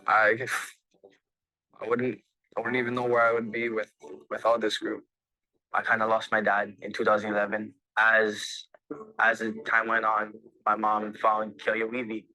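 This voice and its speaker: Young man, flat and bright. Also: lowish voice, no room sound, low-quality recording. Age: young adult. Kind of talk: documentary interview.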